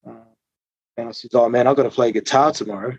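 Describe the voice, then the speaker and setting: A relaxed man chats as a musician guest, drifting through stories with plenty of ums. The sound is dry and a little dull, with no room around it. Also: mid-range voice, fast, slightly rough, dry recording. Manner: relaxed storytelling with frequent ums and swearing. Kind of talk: casual interview chat. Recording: slightly dull dry recording.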